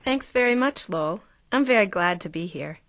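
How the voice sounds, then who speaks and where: An actress playing a polite, modest author being interviewed in an audio drama, speaking in an agreeable, conversational way. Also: female voice, medium pitch, animated, moderate pace, dry recording, studio quality, natural voice. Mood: pleasant, modest. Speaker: actor playing an interview guest.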